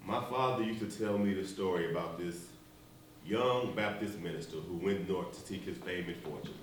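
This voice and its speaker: Casting: man as a deep-voiced, measured minister, heard from afar in a big echoing hall. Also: natural voice. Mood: storytelling. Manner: slow, measured and reflective. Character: grave, measured Baptist minister, middle-aged man. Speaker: stage actor. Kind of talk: stage play performance. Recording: echoey, distant stage recording, faint hiss.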